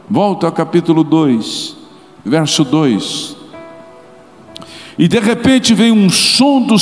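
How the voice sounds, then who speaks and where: Brazilian pastor preaching in a reverberant hall. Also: male voice, fairly high pitch. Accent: Brazilian Portuguese. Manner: storytelling, swings between soft asides and loud rising exclamations. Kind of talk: sermon. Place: large church auditorium. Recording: live church sound with long reverb and faint music.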